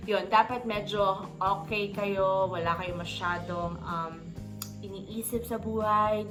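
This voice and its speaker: Chatty Filipino woman reviewing books. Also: mid-range voice. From Philippines. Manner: chatty, often addresses viewers as guys. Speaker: book vlogger. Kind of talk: casual book review vlog. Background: background music.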